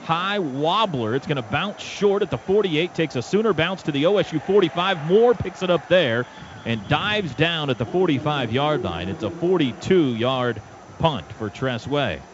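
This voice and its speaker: A man's voice: on the high side, lively intonation, normal speed, dull audio. American radio football play-by-play man, noisy broadcast. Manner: clipped present-tense calls in short bursts. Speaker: play-by-play announcer. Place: stadium radio booth. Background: clear outdoor stadium background noise.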